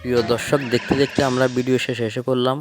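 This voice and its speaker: A man's voice: mid-range voice, flat delivery, rapid-fire. A man announcing as a channel host, promoting a cartoon stream to viewers. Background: loud music and cartoon audio.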